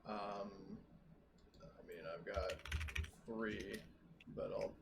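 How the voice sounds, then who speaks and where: Hesitant man with a creaky voice. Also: fairly low pitch, steady tone, extremely slow, slight background noise. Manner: hesitant, questioning, thinking aloud. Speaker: player in a tabletop roleplaying game. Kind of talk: casual game-session chat.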